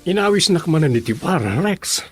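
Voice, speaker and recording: High, animated gossipy best buddy played by an actor. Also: male voice, brisk, husky, soft background music. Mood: curious. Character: gossipy, levelheaded male buddy giving advice. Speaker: voice actor in a radio drama. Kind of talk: Ilocano radio drama acting.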